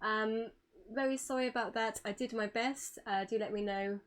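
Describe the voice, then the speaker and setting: A woman's voice: a little high, fairly even intonation, moderate pace. A woman giving an informal online presentation as a streamer, polite and apologetic in manner.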